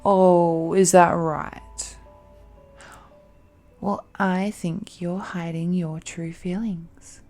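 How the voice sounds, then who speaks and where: Woman acting a languid, mellow, possessive villainess. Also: lowish voice, steady tone, drawn-out words, soft background music. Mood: knowing, persuasive. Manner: languid, coaxing, condescending, punctuated with hums and sighs. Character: possessive, seductive ancient supernatural villainess, smugly patient captor. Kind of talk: romantic villain audio roleplay, intimate monologue.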